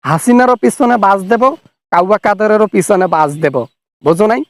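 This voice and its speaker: A man's voice: sits high, expressive, a little fast, dry recording. A man voicing a defiant, accusatory fugitive official in a comic cartoon phone argument. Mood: angry, threatening. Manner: accusatory, threatening, colloquial. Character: angry, defiant fugitive male official, funny cartoon caricature.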